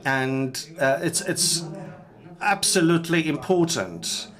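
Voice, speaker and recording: A man interpreting a panel speech live, speaking in measured, broken phrases with pauses and self-corrections. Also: on the high side, very animated, a crowd in the background.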